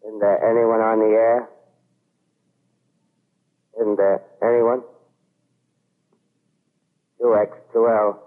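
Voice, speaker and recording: A lonely radio operator calls out slowly in a very flat monotone. The sound is a tinny, narrow vintage radio drama recording from the 1930s. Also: male voice, on the low side. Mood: bleak, forlorn. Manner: flat, repetitive call-out. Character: lonely radio operator calling into dead air. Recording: tinny, band-limited 1938 radio recording.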